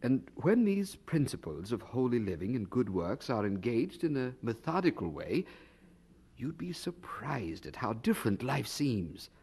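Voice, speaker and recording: A man's voice: mid-range voice, sing-song, measured pace, darkish tone, breathy edge, exaggerated voice. An actor playing a kindly, eager young churchman in a radio drama, earnest and probing, with a slight stammer. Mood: encouraging, earnest.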